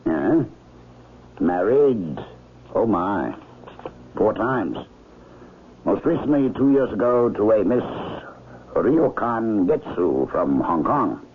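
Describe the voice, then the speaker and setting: An actor playing a courteous, inquisitive doctor in a 1970s radio drama, speaking in a measured, probing way. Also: male voice, lowish voice, very animated. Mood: thoughtful, curious. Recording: muffled vintage radio recording, faint hiss.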